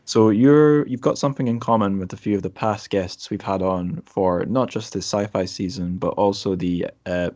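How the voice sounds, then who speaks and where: A man's voice: lowish voice, normal speed, hardly any pauses, a little mellow, dry recording. A man hosting a podcast in an informal, chatty, conversational style. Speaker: podcast host. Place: remote recording over internet call.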